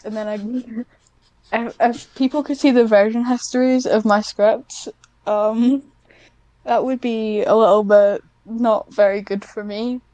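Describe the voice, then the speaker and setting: A woman's voice: fairly high pitch, fairly even intonation, takes it slowly, dark tone, dry recording, muffled recording. A woman chatting casually as a podcast guest, hesitant and self-deprecating, with a giggly manner. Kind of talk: casual interview chat.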